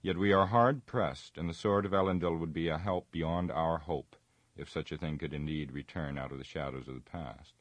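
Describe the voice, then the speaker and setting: A proud, earnest warrior lord's son, read by a man. His voice sits very low and sounds close and dry. He tells his tale formally and gravely. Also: moderate pace, no echo. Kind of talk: audiobook reading.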